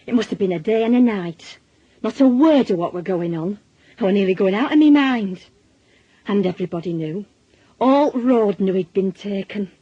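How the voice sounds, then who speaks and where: A middle-aged Northern English mother in a radio drama, worn and plain-spoken. Her voice is soft-edged and husky, and she confides in everyday working-class speech. The recording is slightly dull. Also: mid-range voice, no room sound. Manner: colloquial, world-weary, confiding. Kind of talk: naturalistic radio drama, monologue and dialogue.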